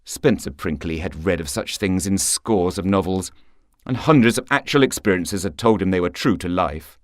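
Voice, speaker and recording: A man narrating a short story for radio in a theatrical, expressive manner. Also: sits low, moderate pace, no echo, studio quality. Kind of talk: scripted literary narration for radio.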